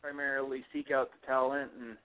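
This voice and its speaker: A man's voice: mid-range voice, little pitch movement, a little fast. A man with a Minnesota accent calling in to a radio interview, easygoing and a bit hesitant, chuckling now and then. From California. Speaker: guest, music promoter. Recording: tinny phone line.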